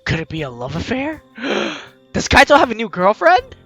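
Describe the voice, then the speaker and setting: A video game host with an animated, teasing, exclamatory way of talking, reading aloud and commenting playfully. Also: mid-range voice, normal speed, soft background music. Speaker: let's-play video host.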